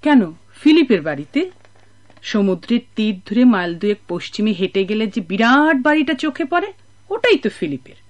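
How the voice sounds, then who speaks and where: A kindly old village woman chats away in a radio play. Her pitch rises and falls dramatically as she shares gossip with sympathy and expression. Music plays behind her. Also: moderate pace. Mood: chatty, content. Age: older. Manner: expressive, chatty storytelling.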